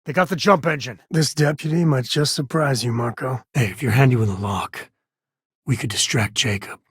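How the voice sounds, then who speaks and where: An actor voicing an easygoing space-western ranger and devoted father in a video game, with a husky voice and a folksy, wry, conversational manner. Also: mid-range voice, normal speed, dry recording, studio quality. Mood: alert, coaxing. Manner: folksy, wry, casual drawl of phrasing.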